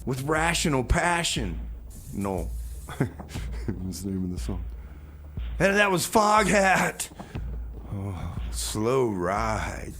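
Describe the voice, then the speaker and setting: A man's voice: medium pitch, very animated, drawn-out words, pauses often, a bit bright, slight background noise. Easygoing male radio DJ. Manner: easygoing, enthusiastic, back-announces songs. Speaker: radio DJ and host. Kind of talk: comedic community radio banter.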